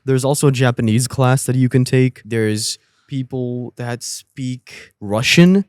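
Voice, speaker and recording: A man's voice: mid-range voice, unhurried, darkish tone, smooth, no room sound, clean studio sound. A young man from India, a student co-hosting a casual podcast chat and asking the others questions. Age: young adult.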